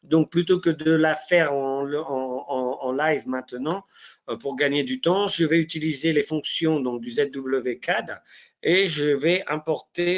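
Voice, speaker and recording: A man with a head cold giving a live online software demonstration, talking in a hesitant way with many fillers and restarts. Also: on the high side. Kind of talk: live software demo walkthrough.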